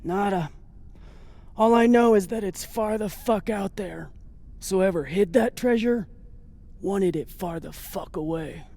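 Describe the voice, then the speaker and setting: A high-voiced, hardened space pilot. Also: male voice, a little slow, vocal fry, dark tone, exaggerated voice. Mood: dry, sardonic. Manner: terse, wry, profane. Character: hardened, haunted, foul-mouthed space pilot grieving a husband. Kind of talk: dramatic video game dialogue.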